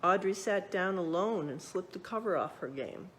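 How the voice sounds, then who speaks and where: A woman reading a children's picture book aloud, expressively, pausing to check in with young listeners. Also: fairly low pitch, animated, a little hiss or hum. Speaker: read-aloud storyteller.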